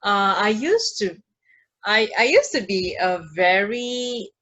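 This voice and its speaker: A woman from Indonesia with an Indonesian accent, sharing personal stories as a podcast guest in a halting, casual way. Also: medium pitch, big pitch swings, a bit bright, highs cut off. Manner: halting, with frequent repeated words. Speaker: podcast guest, mindfulness practitioner.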